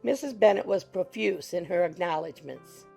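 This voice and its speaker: A woman's voice: fairly low pitch, lively intonation, moderate pace, creaky. A woman narrating a classic novel as an audiobook in a scripted literary reading style. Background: soft music.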